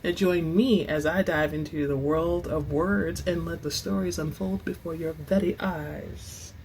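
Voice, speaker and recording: A woman hosting and reading book excerpts in a dramatic, expressive storytelling style, with informal asides. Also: low-pitched, measured pace, a little hiss or hum, rough recording. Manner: theatrical, expressive; informal, says y'all. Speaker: host and reader of book excerpts.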